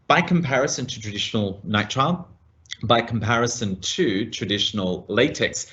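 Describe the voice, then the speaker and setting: An Australian man, a medical practitioner, giving a measured product demonstration to colleagues. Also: fairly low pitch, fairly bright tone, muffled recording.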